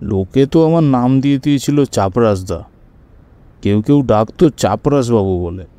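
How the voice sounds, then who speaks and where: An actor playing a courteous, plain-spoken office worker and proud kite flyer in an audio drama, talking conversationally. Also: male voice, medium pitch, animated, normal speed, mellow, natural voice. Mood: proud, enthusiastic.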